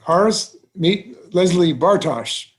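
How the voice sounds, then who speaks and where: Man acting a genial bank president, higher-set voice. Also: lively intonation, slow. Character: genial, seasoned small-town bank president. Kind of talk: musical theatre table read.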